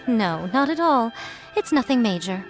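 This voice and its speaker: Sly shrine priestess, coy, amused, lively intonation, video game acting, faint music. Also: female voice, on the high side, measured pace. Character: poised, teasing, sly female shrine head. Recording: music behind the voice.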